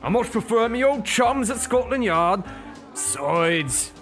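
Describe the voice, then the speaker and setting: A woman's voice: fairly high pitch, sing-song, measured pace, faint music behind. A woman playing a cheerful, dotty London Cockney police officer in a comic audio drama, folksy and matter-of-fact. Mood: chatty.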